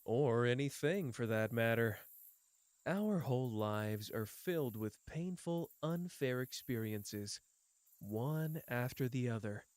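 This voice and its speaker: A man's voice: medium pitch, animated, slow pace, no room sound, studio quality. A man voicing a teasing, world-weary young man. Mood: bleak. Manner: soft, teasing, drifting between playful and bleak. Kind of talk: ASMR roleplay.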